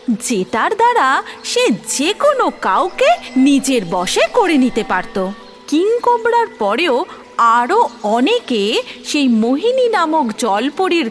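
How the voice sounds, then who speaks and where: A woman narrating a scripted fairy tale as a cartoon storyteller. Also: high voice. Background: music.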